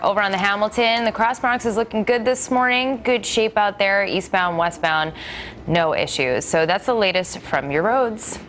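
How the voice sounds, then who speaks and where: A woman's voice: on the low side, a bit bright. A woman working as a traffic reporter, giving a chatty live TV report over audible background noise.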